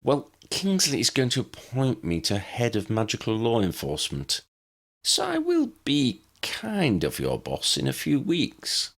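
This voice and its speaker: A man's voice: medium pitch, wildly swooping pitch, unhurried, vocal fry, a bit bright, full character voice. A man voicing a confident, friendly adult wizard lawman in an audiobook, plain-spoken and dryly humorous. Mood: matter-of-fact.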